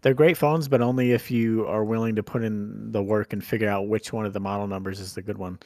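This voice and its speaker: A man's voice: on the low side, steady tone, fast talker, darkish tone, no echo, studio quality. An American man with a blunt, sweary manner, chatting casually as a podcast host. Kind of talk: casual chat.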